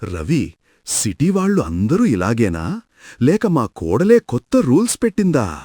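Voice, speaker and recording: Man playing grumbling old village father-in-law, very animated. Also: medium pitch, unhurried, slightly rough, no room sound, clean studio sound, exaggerated voice. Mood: bemused complaint. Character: elderly village father-in-law, puzzled by city habits. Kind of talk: cartoon story dialogue.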